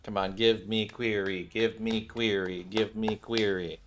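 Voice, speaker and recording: A man's voice: lowish voice, little pitch movement, unhurried, a little hiss or hum. A man hosting a gaming video, giving casual, chatty commentary addressed straight to his viewers. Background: game music.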